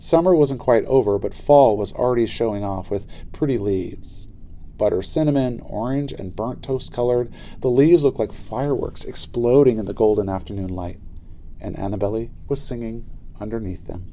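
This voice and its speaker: A man narrating a children's story aloud in an expressive, dramatic way. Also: medium pitch, moderate pace, a little hiss or hum. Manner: animated, dramatic delivery.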